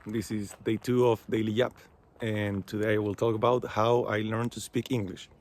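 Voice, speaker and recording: A man's voice: on the low side, little pitch movement, moderate pace, a little mellow. A young man from Chile with a Chilean Spanish accent, talking casually in English to his vlog audience and leaning on fillers like "like" and "you know". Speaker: vlogger. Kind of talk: casual talking-head monologue. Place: outdoors.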